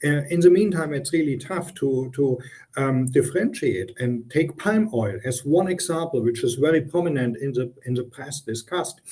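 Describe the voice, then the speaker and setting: German-accented man, mellow explanatory voice. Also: medium pitch, animated, normal speed. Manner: earnest, explanatory, frequent ums and restarts. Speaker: guest consultant. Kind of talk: business interview.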